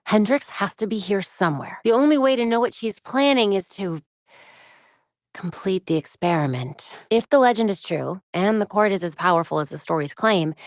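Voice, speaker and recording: A woman playing a sharp, determined young American vigilante heroine in a video game, with a wry, quippy delivery. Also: on the low side, no echo, studio quality. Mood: wry, focused. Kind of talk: acted video game voice lines.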